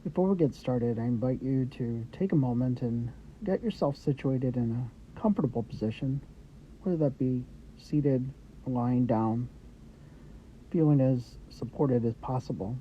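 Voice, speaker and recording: A man guiding a meditation with gentle, unhurried instructions in short phrases with pauses between them. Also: medium pitch. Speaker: meditation guide. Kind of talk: guided meditation, Yoga Nidra. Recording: dull lo-fi audio with background noise.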